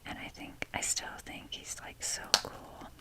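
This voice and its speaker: A woman whispering in a breathy voice as she rambles casually and wryly in an informal, fannish ASMR style. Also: measured pace. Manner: informal, fannish, wry, mildly sweary. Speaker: ASMR video creator showing a toy collection.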